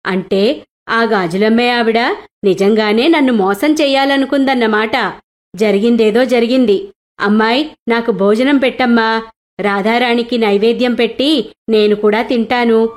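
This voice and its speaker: A woman's voice: fairly high pitch, normal speed, lightly acted. A woman voicing a devout, fatherly older merchant in an earnest way for a moral storybook tale. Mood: suspicious, firm. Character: devout wealthy merchant, fatherly older man with grown daughters-in-law.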